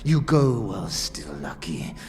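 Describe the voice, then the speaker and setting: A man's voice: sits low, very animated, a little slow, darkish tone. A man playing a hostile, dim-witted scaly devil in a game audio drama, speaking in clipped, broken threats. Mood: hostile, threatening. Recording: faint music behind.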